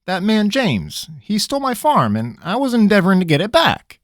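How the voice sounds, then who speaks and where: A man voicing a nervous, rambling young farm-boy mage with a high, twangy, folksy voice. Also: wildly swooping pitch, moderate pace, fairly bright tone, no room sound, studio quality, full character voice. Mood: rambling excuses. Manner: jittery, wheedling, folksy.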